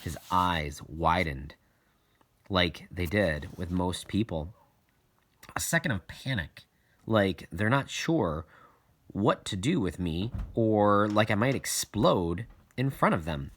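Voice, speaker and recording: A man reading a children's novel aloud in an expressive storytelling style, with deliberate, dramatic pauses. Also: low-pitched. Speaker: audiobook reader.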